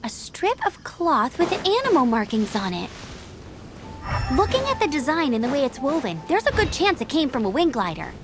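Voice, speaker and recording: A woman voicing a level-headed young adventurer in a video game, calm and matter-of-fact. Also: high voice, normal speed. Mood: steady. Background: game music.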